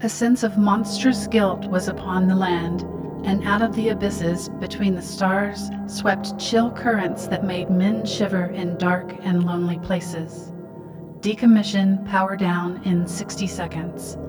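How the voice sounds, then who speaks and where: A woman playing a cold satellite voice in a radio play, reciting transmissions in a measured, detached way. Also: mid-range voice, steady tone, music in the background, lightly acted. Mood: impassive, ominous.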